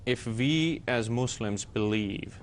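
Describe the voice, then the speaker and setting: Male panelist from Pakistan, reflective and deliberate, speaking over a faint low rumble. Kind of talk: personal reflection in a discussion.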